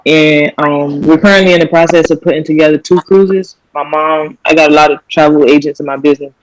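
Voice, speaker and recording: Thirties man, Miami-raised guest, relaxed, slightly high voice. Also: fairly quick, no echo, low-quality recording. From Miami, Florida. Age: 30s. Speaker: podcast guest.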